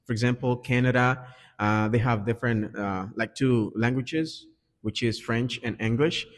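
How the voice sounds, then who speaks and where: Male English teacher being interviewed, Dominican Spanish accent, mid-pitched voice, earnest explainer, roomy reverberant sound. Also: normal speed. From Dominican Republic. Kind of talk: conversational interview answers. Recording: echoey reverberant room.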